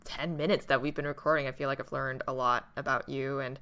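A woman co-hosting a podcast who talks casually and starts her sentences haltingly. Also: very deep voice, flat delivery, a bit bright. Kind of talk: casual chat.

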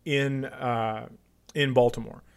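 Male comedy podcast host, mid-pitched, casual and conversational, with dry, teasing delivery. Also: fairly even intonation, slow pace, gravelly, clean tone.

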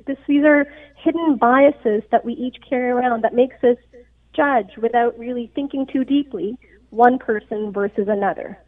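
A woman's voice: a little high, dry recording. Woman doctor on a tinny phone line. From Pakistan. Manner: earnest, explanatory, frequent "right?" check-ins. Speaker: physician guest. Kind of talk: radio interview. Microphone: phone call.

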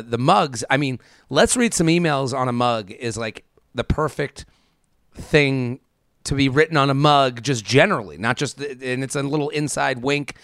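A man's voice: mid-range voice, gravelly, a bit bright, no room sound, clean studio sound. A man co-hosting a podcast, chatting casually in a loose, rambling way. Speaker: podcast co-host. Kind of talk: casual chat.

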